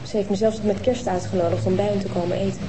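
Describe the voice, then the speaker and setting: An actress playing a guarded suspect who answers police questions defensively. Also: female voice, mid-range voice, fairly even intonation, fast, noisy background, lightly acted. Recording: roomy TV soundtrack with loud music.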